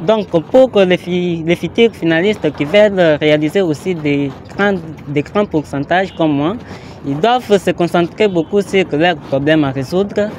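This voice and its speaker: A teenage boy, a top exam student, answering interview questions in an earnest, measured way. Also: high-pitched, a little mellow, a little hiss or hum. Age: late teens.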